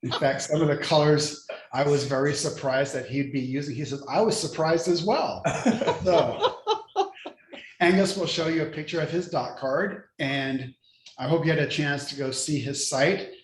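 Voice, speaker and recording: A man's voice: a little high, moderate pace, a little hiss or hum. Hesitant friendly male host. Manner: friendly, hesitant with many ums. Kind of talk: casual interview chat. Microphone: video call. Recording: echoey room over video call.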